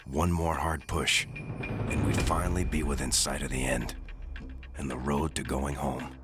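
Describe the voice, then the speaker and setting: A male actor playing a sombre American marine who narrates the Pacific war in a measured, scripted cinematic style. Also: rumbling low, level tone. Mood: grave, reflective. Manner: measured, grave. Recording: prominent music under the narration.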